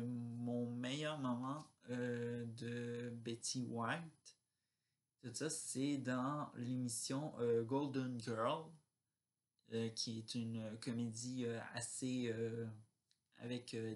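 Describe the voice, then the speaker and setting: A man talks casually to his vlog viewers at a slow pace. His delivery is halting and rambling, as he searches for words and lets sentences trail off. His pitch sits in a middle male range. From Quebec. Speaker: vlogger.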